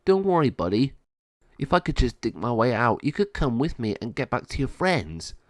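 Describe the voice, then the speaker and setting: A man's voice: mid-range voice, big pitch swings, moderate pace, no echo, studio quality, lightly acted. A man voicing a friendly, determined caged dog with a plain-spoken, matey manner in a storybook reading. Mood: reassuring.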